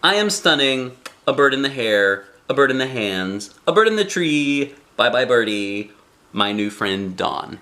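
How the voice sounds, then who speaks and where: A man reading a children's book aloud in an expressive, folksy way, with chatty asides. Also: medium pitch, measured pace. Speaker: children's book reader and channel host. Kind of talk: animated read-aloud storytelling for children. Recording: roomy home recording.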